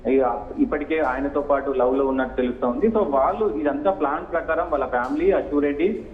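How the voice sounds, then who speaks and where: A man reporting and analysing news unscripted, in a halting, repetitive way. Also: fairly high pitch. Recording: echoey hall, muffled phone-like line.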